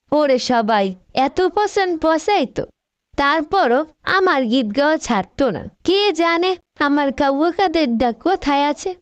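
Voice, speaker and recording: A woman's voice: high-pitched, dry recording, dull audio. A woman voicing a bossy, scolding fallen female leader with dramatic, mocking delivery in a comic cartoon. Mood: teasing, wistful.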